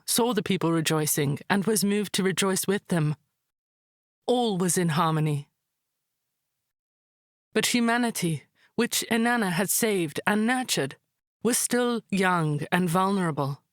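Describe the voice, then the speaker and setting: A woman playing a calm, wise high priestess who tells myths in a measured, solemn way, as in a visual novel. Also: no echo, clean studio sound, close to an everyday voice.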